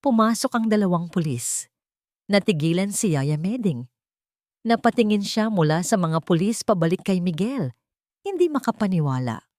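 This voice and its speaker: A woman's voice: medium pitch, sing-song, moderate pace, a little mellow, no room sound, clean studio sound. A woman narrator reading a scripted dramatic story as a voice-over. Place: dry, close voice-over recording.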